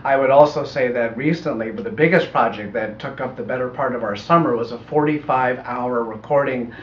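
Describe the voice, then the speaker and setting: A middle-aged American man explaining his audiobook work in a measured, thoughtful way during an interview. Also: medium pitch. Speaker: audiobook producer being interviewed. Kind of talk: conversational interview answers. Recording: echoey room, muffled, faint noise.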